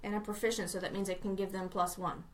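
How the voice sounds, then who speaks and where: A woman's voice: medium pitch, level tone, quick pace. A woman playing a tabletop game, chatting casually in a dry, teasing way. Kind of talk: casual chat. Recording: distant mic, faint background noise.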